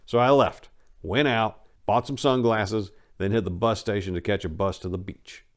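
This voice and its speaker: A man's voice: on the low side, normal speed. A man hosting and narrating a story in an informal, conversational, dramatic reading style. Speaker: storyteller and channel host.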